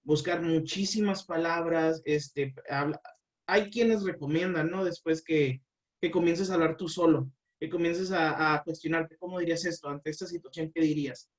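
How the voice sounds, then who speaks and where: A man's voice: a little high, moderate pace, far from the mic. Hesitant man, a bit off-mic. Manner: hesitant, many fillers and restarts. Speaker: guest language teacher. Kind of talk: explanatory interview talk.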